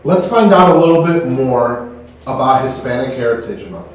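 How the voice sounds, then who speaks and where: A man's voice: mid-range voice, animated, normal speed. A man from Texas co-hosting a school morning broadcast, reading in a friendly, plain way. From Texas, United States. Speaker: teacher co-hosting school announcements. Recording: echoey room, distant mic.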